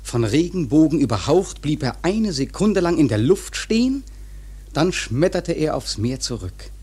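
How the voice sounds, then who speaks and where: An actor playing a grave seafaring storyteller, narrating a radio drama in a husky, measured, literary way. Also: male voice, on the high side, big pitch swings, a little mellow, heavily acted. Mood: intense, awed. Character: grave male seafaring storyteller recounting a whale hunt.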